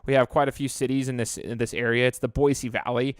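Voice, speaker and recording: A man's voice: mid-range voice, flat delivery, fairly quick, no echo. Man, young, podcast host, rambling, dry close mic. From Idaho. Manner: chatty, rambling with restarts. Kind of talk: casual interview.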